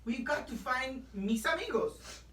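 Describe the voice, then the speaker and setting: A woman voicing an excitable, cheeky young kitty boy in a theatrical picture book read-aloud. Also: sits high, measured pace, slight background noise, exaggerated voice. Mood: eager. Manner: exclamatory, theatrical. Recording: roomy, distant microphone.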